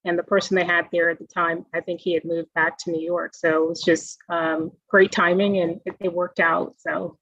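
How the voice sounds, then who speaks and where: A young woman from the Bahamas, a guest answering interview questions in a friendly, measured way with frequent fillers over a video call. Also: lowish voice, flat delivery, a bit bright, no echo. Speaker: guest, self-directed IRA specialist. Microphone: video call mic. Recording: dull, dry video call audio.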